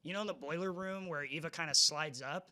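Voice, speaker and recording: A man's voice: on the high side, fairly quick, bright, forceful tone. A young man, a game programmer, chatting casually on a convention panel, rambling with frequent restarts. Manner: rambling, stumbles and restarts often.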